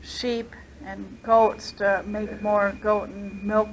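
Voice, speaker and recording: A woman on a factory tour talks slowly and haltingly, stopping often on "um" and "uh." Faint machinery noise hums behind her. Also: medium pitch, level tone, rough recording. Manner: halting, many fillers. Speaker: tour visitor. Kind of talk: casual chat.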